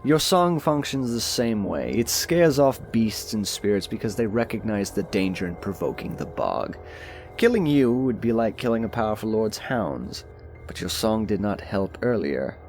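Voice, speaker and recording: A narrator voicing an urbane, aloof, sardonic nobleman with dry, erudite, measured phrasing in an audiobook. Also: mid-range voice, sing-song, a little mellow, soft background music. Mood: musing, sardonic. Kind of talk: audiobook character voice.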